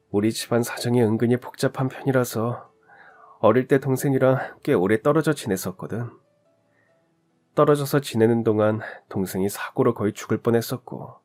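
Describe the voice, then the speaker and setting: A man's voice: medium pitch, darkish tone, lightly acted. A man voicing a stingy young male army lieutenant who answers meekly and apologetically.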